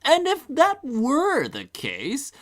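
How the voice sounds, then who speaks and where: Pompous, wildly swooping mage professor. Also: male voice, very high-pitched, huge pitch swings, slow pace, heavily acted. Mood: mocking. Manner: pompous, sneering. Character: pompous, condescending, mocking male magic professor. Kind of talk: comedic anime parody dub.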